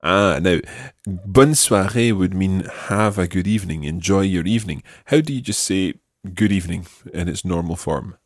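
A man's voice: deep voice, normal speed, few pauses, no echo, studio quality. A man teaching a language lesson, patiently prompting and carefully repeating phrases. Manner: patient, instructive, carefully enunciated.

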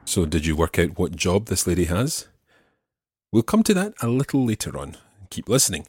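A man teaching French, patiently explaining and repeating phrases slowly. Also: low voice, sing-song, darkish tone, slightly rough, dry recording, clean studio sound. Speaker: language teacher.

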